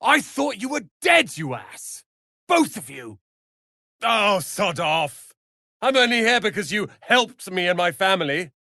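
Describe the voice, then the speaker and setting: An actor voicing a proud, prickly young wizard's apprentice in a fantasy video game, haughty, theatrical and sharp-tongued. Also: male voice, fairly high pitch, dry recording, studio quality, full character voice. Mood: furious.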